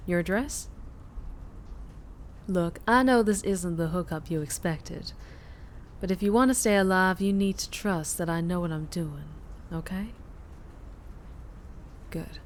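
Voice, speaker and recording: A woman's voice: on the low side, unhurried, pauses often, a little hiss or hum, close to an everyday voice. A woman playing a fierce, flirtatious vampire biker with a Southern US accent, teasing and protective in an intimate ASMR roleplay. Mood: concerned, protective.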